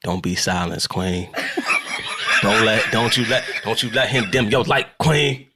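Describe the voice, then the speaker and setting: A man's voice: on the low side, unhurried, heavy background noise. A man co-hosting a podcast, dropping dry, wisecracking interjections. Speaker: podcast co-host. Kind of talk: casual chat.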